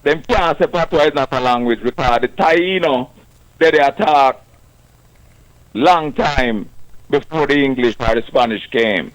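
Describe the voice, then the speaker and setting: A man with a Jamaican accent calling a radio show, chatting in an animated way in patois. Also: medium pitch, measured pace, poor audio. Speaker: radio caller.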